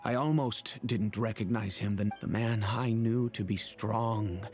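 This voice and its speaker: A man acting a grave middle-aged father in a video game, speaking in a measured, formal and earnest way. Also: fairly low pitch, darkish tone, soft background music, natural voice. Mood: solemn, regretful. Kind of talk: scripted game dialogue, measured storytelling.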